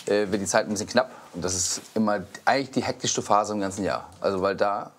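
A man in his forties who runs a country estate, talking casually about the building work in a hurried, practical way. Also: on the low side. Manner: hurried, practical, under time pressure. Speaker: estate owner.

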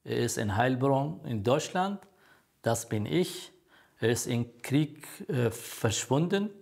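A man in his sixties, a native Kurdish speaker from Iraq, who talks in halting, earnest German while being interviewed as a refugee counselor. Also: medium pitch, animated, vocal fry, a little mellow. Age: 60s. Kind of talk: documentary interview.

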